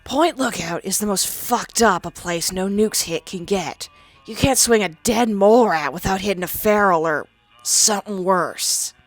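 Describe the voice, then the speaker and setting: A woman's voice: mid-range voice, measured pace, no room sound, faint music behind, natural voice. A woman voicing a sardonic, crude young female ghoul, a former psychology student, in a game dialogue. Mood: wry. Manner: blunt, crude and defensive. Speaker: companion character. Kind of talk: fan-voiced game dialogue.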